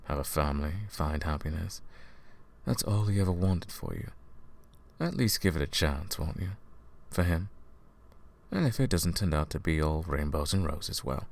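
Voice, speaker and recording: A scheming royal advisor played by a man in an intimate fantasy audio drama. His voice is very low, with a gravelly creak in it. He addresses the listener with courtly, theatrical politeness, smooth-tongued and condescending beneath the show of sympathy. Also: moderate pace. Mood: condescending consolation.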